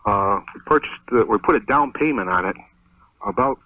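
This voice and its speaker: Low American male caller, distant phone line. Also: animated, normal speed, no room sound. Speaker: caller to a radio show. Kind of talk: conversational phone call.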